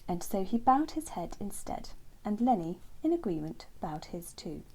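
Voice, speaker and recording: Lady reading a kids bedtime story, soft n husky, loads of expression in the pitch. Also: big pitch swings, moderate pace, poor audio. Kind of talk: bedtime story narration for children.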